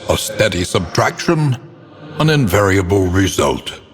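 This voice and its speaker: A very low, slow male voice rings out with long reverb. He is a brooding narrator from a gothic horror video game, solemn and theatrical, placing each ornate phrase with grave deliberation. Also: big pitch swings. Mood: cold, deliberate. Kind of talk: scripted dramatic game narration in gothic prose.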